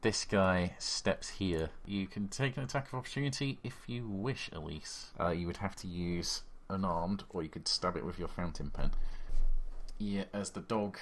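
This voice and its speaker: A man's voice: deep voice, moderate pace. A man running a tabletop horror game as game master, describing the action blow by blow with sound effects, on a voice call.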